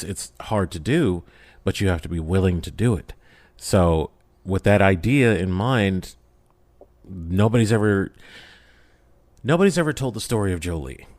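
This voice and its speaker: A man speaking as a podcast guest, casual and motivational, often posing questions and answering them himself. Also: low voice. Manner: emphatic, poses and answers own questions. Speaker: podcast guest, audio drama creator. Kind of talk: casual, motivational interview talk.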